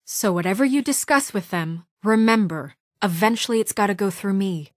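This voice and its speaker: A poised young woman in charge, played by a game voice actress, speaks with measured, earnest authority. Her mid-pitched voice is formal and admonishing, delivered naturalistically as in a video game drama. The recording is dry, with no room sound. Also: dry recording, close to an everyday voice. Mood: stern, reproachful. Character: poised, stern young woman leading a troubled town government.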